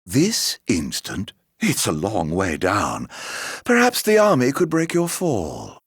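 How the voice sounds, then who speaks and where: A sly video game villain who speaks in slow, florid drawls. His pitch swings dramatically from low to high, and every phrase is steeped in theatrical, teasing courtesy. The sound is dry and close. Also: wildly swooping pitch, slightly rough, no echo, exaggerated voice. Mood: smugly reassuring.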